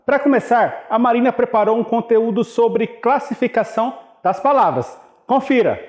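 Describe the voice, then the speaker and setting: A man announcing the start of an educational TV program in a bright, upbeat announcer delivery. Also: very high-pitched, expressive, moderate pace, roomy sound. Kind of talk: broadcast announcement.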